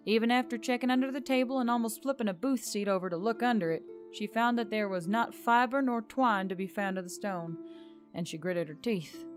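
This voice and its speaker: A woman reading scripted fiction as a story narrator, in an expressive storytelling style. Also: mid-range voice. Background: background music.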